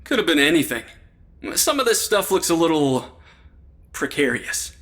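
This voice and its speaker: An actor playing a wry, loyal investigator in an audio drama, casual in delivery with a dry way of talking. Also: male voice, fairly high pitch, big pitch swings, moderate pace, a bit bright. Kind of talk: supernatural comedy audio drama acting.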